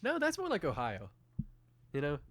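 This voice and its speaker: A man's voice: a little high, normal speed, dry recording. Teasing young man in casual banter. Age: young adult. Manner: animated, teasing, acts out quotes. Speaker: podcast co-host.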